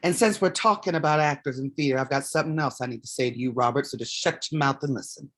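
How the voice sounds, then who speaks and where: A woman's voice: rumbling low, fast. A woman in a staged reading playing an impassioned, defiant leader of a community theater company, with a blunt, emphatic delivery. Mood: impassioned, insistent. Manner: blunt, emphatic speech-making.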